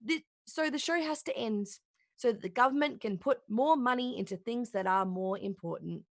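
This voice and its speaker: A woman playing a gentle children's puppet show host, explaining things in a patient, careful way. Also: fairly high pitch, moderate pace, no room sound, studio quality, lightly acted. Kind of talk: children's television host acting, play reading.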